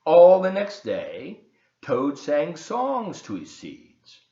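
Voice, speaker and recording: A man tells a story to children in an expressive, encouraging way, slipping into chatty asides. His pace is unhurried and his pitch swings widely. The room adds noticeable echo. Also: muffled recording. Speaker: volunteer children's story reader.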